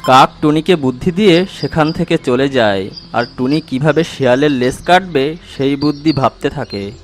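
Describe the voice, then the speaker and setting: High-pitched male storybook narrator. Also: normal speed, a little hiss or hum. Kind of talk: scripted children's story narration.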